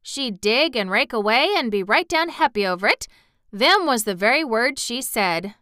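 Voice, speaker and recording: A woman's voice: on the high side, lively intonation, normal speed, bright, forceful tone, smooth, no room sound, clean studio sound, pitched above the performer's own voice. A woman voicing a cheerful, talkative young housemaid in a storybook reading. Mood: excited. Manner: broad dialect, eager and chatty.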